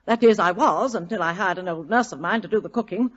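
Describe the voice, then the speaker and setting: A woman's voice: mid-range voice, fast, close to an everyday voice. An actress playing a vain, imperious, portly middle-aged society lady, grand and melodramatic, in an old-time radio drama. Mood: dramatic alarm. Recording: dull old radio broadcast recording.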